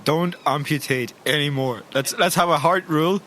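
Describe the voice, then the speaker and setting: A man's voice: on the high side, normal speed, pushed and bright, a little hiss or hum. A man playing a tabletop roleplaying game, improvising conversational, argumentative banter in character.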